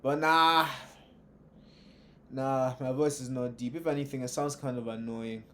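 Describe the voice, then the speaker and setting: A man reacting to a video in casual, slangy, animated commentary. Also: medium pitch, measured pace. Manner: animated, slangy, jokey asides.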